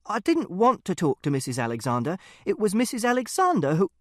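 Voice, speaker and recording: A man's voice: sits high, wildly swooping pitch, brisk, no room sound, studio quality, pitched above the performer's own voice. A man voicing an earnest, literal-minded teenage boy who narrates an audiobook in a precise, matter-of-fact way. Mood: anxious, defensive. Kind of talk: first-person audiobook narration.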